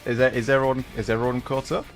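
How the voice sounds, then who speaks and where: A man hosts a livestream in a casual, chatty style. His voice sits at an average male pitch, with a wry and self-deprecating delivery. Music plays in the background as he talks. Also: level tone, very fast. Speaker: livestream host, gamer.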